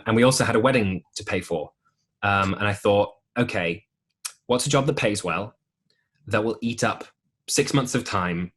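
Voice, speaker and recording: A young man with a deep voice telling stories in a casual podcast interview, with plenty of ums and restarts. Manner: relaxed storytelling with many ums and restarts. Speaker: podcast guest, musical theatre performer.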